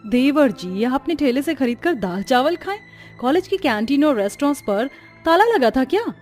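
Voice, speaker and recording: A woman's voice: high-pitched, very animated, moderate pace, a little mellow, soft background music. A woman voicing a haughty, rich young sister-in-law in a cartoon story, sneering and condescending in manner. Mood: scornful. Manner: mocking, condescending. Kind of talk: Hindi cartoon story acting.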